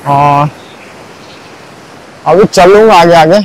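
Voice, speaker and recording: A man's voice: a little high, extremely slow, rough recording. A young man narrating a casual walking tour of a beach at sunrise, chatty, with lots of filler words. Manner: chatty, keeps saying "bhai" and "matlab". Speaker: vlogger.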